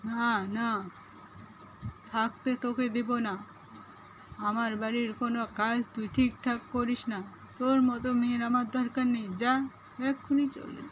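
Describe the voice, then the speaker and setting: A bossy older mother-in-law acted by a woman in a homemade cartoon. She nags and commands in sharp questions, taking her time over each phrase, with faint noise behind her. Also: fairly high pitch, level tone, a little slow, pauses often, natural voice, low-quality recording. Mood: angry. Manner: sharp, nagging, rhetorical questioning. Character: scolding, bossy ageing Bengali mother-in-law. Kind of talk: amateur cartoon voicing.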